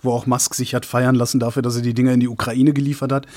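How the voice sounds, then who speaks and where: A man's voice: medium pitch, level tone, quick pace, darkish tone. Sarcastic man on a podcast. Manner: sarcastic, joking. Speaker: podcast co-host. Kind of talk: casual chat.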